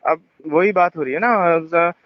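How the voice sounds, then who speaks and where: A man's voice: on the high side, measured pace, no echo. A man on a phone call, talking in a rambling, defensive way with many fillers and restarts. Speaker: phone caller. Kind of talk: tense phone conversation. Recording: muffled phone call recording.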